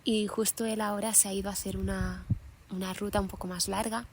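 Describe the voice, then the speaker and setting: A young woman hosting a podcast, musing aloud in an unscripted monologue while sitting outdoors in a mountain meadow, thoughtful and unhurried with many pauses and restarts. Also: medium pitch, flat delivery, a little hiss or hum. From Catalonia, Spain. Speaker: podcast host.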